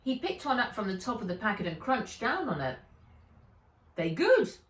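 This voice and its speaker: A woman's voice: mid-range voice, sing-song, a little fast, a bit bright. A woman author reading a children's novel aloud in an audiobook narration style. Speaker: author reading their own book. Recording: roomy echo, slightly distant mic.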